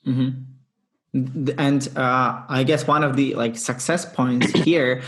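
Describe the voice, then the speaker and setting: Male podcast host, non-native English speaker, halting conversational delivery, medium pitch. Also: steady tone. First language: not English. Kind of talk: hosting an interview podcast.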